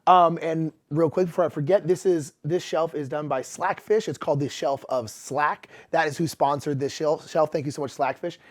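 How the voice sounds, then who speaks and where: Male host, 30s, animated explainer, voice somewhat worn from illness, pitched a touch high. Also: normal speed, few pauses. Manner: chatty and animated, gesturing with hands. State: recovering from illness, voice a bit rough. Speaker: board game channel host. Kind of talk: casual explanatory talk to camera. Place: indoor room in front of game shelves.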